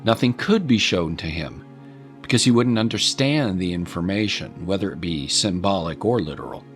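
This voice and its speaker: Male narrator, lowish pitch, very varied intonation, measured storytelling, light music behind. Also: lively intonation.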